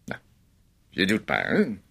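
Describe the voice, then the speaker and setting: A man's voice: sits low, huge pitch swings, slow, vocal fry, background noise, exaggerated voice. An actor playing a domineering man in a radio play, sarcastically needling and teasing his timid housemate, swinging between outbursts and muttering. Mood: exasperated. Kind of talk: Dutch radio play acting.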